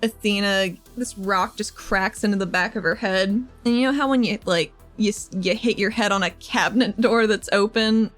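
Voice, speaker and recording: A woman's voice: medium pitch, normal speed, few pauses, soft background music. Informal woman playing a tabletop game. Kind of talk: casual roleplaying chat.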